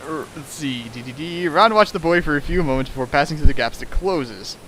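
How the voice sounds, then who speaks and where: Animated, slightly high-voiced sarcastic man. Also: a little fast, rough recording. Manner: sarcastic, hammy. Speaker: co-host reading fan fiction aloud. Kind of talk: mocking dramatic reading with asides. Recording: faint hiss, home recording.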